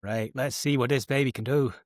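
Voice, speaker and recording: A man's voice: medium pitch, fairly even intonation, brisk, no echo, clean studio sound. A man playing a tabletop role-playing game, adding casual descriptive banter in character and out.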